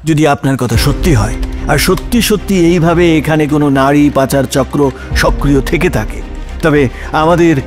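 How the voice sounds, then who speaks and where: A man's voice: fairly high pitch, a little fast, close to an everyday voice. A man playing a principled, sceptical opposing lawyer who speaks formally and emphatically in an audio drama. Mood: solemn, conceding. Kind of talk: Bengali audio drama acting. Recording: dramatic music under the voice.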